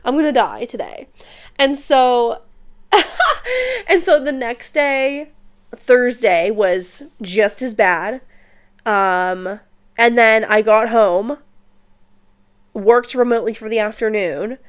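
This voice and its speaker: Young woman, congested, bubbly and chatty, slightly high voice, podcast mic. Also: lively intonation, slow pace, long pauses. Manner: bubbly, chatty, uses slang and filler words. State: congested from a recent illness. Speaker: podcast host. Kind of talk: casual solo podcast chat. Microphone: podcast microphone.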